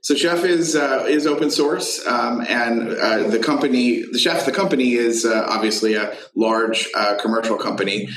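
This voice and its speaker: A man being interviewed as a tech founder, explaining his business in halting phrases with frequent pauses. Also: mid-range voice, fairly bright tone, roomy sound, rough recording.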